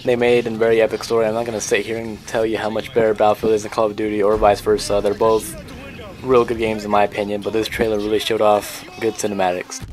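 A man's voice: on the low side, flat delivery, fast. A man giving casual, informal and opinionated commentary as the host of a video game countdown.

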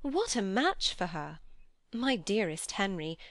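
A woman reading an audiobook, voicing a vivacious, teasing young society lady with animated, exclamatory, inquisitive speech. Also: mid-range voice, takes it slowly, no echo, clean studio sound, heavily acted. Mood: delighted, eager. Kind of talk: audiobook character dialogue.

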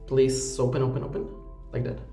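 A man's voice: medium pitch, a little fast, creaky, mellow. A man in his thirties with an Ecuadorian accent, speaking as a playful magician giving live close-up patter. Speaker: sleight-of-hand magician. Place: small indoor performance stage. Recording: echoey room with music behind.

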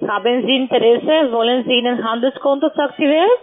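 A woman's voice: fairly high pitch, a little fast. A woman working as a call centre agent, questioning a customer in broken, non-native phrasing over the phone. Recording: tinny narrow phone line.

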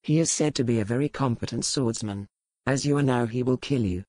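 Guy doing a roguish pirate lord, measured n mocking, fancy formal talk, very dry sound, audiobook romance. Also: medium pitch, darkish tone, no room sound, lightly acted. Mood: cool, firm. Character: roguish, cool-headed aristocratic pirate lord, dangerous and mocking. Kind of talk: dramatised historical romance audiobook.

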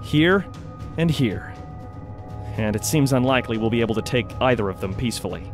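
A man playing a brisk, weary wizard leading a war council in an audio drama, measured and businesslike. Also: medium pitch, animated, lightly acted. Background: background music.

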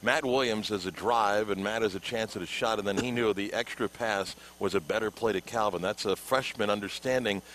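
A middle-aged man on a headset mic at a courtside table in an indoor arena, reading stats and asking postgame questions in a measured way. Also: lowish voice, a bit bright, a little hiss or hum, low-quality recording. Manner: measured, reads stats then asks questions. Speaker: postgame sideline interviewer. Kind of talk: postgame broadcast interview.

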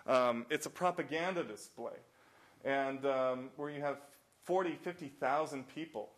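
A man at middle pitch gives a symposium talk with slides. He speaks loosely and anecdotally, piling up fillers and restarts as he moves from story to slide. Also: measured pace, a bit bright. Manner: acts out quoted exchanges in raised voices. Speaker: nonprofit director giving a symposium talk. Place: college symposium room.

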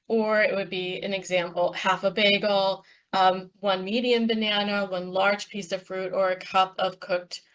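Woman walking thru slides on a call, steady explainer, kinda muffled lo-fi sound. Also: mid-range voice. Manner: explanatory, steady, with frequent ums. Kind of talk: presenting slides in a panel talk.

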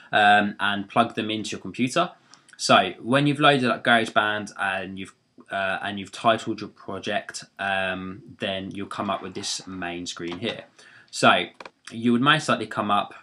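A young man with a deep voice, talking through a screen-recorded tutorial in a rambling, friendly way, with lots of fillers and restarts, recorded on a laptop's built-in microphone in his bedroom. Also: bright, forceful tone. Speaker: tutorial maker. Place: bedroom near a busy road.

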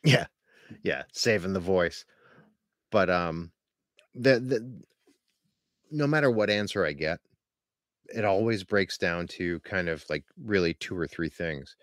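A man's voice: low-pitched, takes it slowly, pauses often, a bit bright, no echo, studio quality. A man hosting a podcast interview, talking in an earnest, rambling way with many restarts. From Pennsylvania. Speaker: podcast host. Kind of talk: casual interview.

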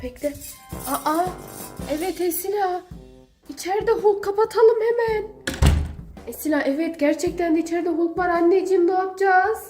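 A woman with a very high-pitched voice acts as a mother in a children's pretend-play skit. She is exclamatory and theatrical, often repeating herself, with music playing behind her. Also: normal speed.

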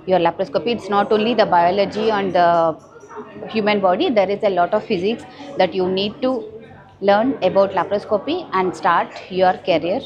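A woman's voice: medium pitch, normal speed, music in the background. A woman obstetrician from India giving a rambling, self-correcting testimonial to camera on a lapel mic. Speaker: obstetrician and gynecologist. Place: busy surgical training hall. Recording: dull audio, crowd chatter behind.

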